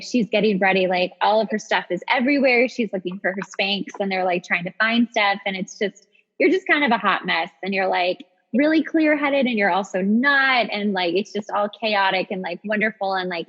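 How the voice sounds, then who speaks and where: A woman in her thirties with a husky voice, chatting casually and telling stories in an animated, self-deprecating way as a podcast host. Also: medium pitch, normal speed. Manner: animated, self-deprecating storyteller who acts out quotes. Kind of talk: casual chat and storytelling. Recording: dull audio with long reverb.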